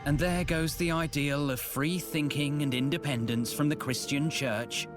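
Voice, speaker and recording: A man's voice: on the high side, steady tone, a little slow, music in the background, close to an everyday voice. A man voicing a sarcastic male companion making dry asides in a game. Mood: sardonic.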